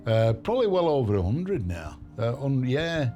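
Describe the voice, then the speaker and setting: A man in his late sixties, a band's saxophonist, speaking in a dry, halting way in a documentary interview. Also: medium pitch, big pitch swings, dark tone. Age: 60s. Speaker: band saxophonist. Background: music bed.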